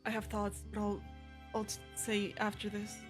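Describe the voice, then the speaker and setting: A woman's voice: medium pitch, completely flat delivery, moderate pace. A young woman streaming a game, giving casual live reaction commentary. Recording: streamer mic over game music.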